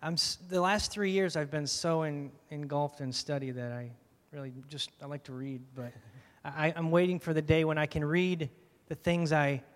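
A soft-spoken man with a fairly high voice talks about himself before a congregation. His mild, rambling speech carries a long reverb from the hall around him. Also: fairly even intonation, moderate pace, hardly any pauses. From Michigan, USA. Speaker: pastoral candidate. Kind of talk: casual personal testimony. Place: church hall.

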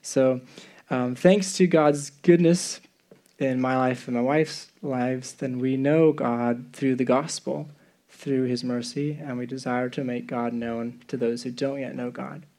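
A man from the Czech Republic speaking as a missionary preacher, giving an earnest, halting talk to a congregation and often rephrasing himself. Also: mid-range voice, fairly even intonation, mellow. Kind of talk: sermon and missions presentation to a congregation.

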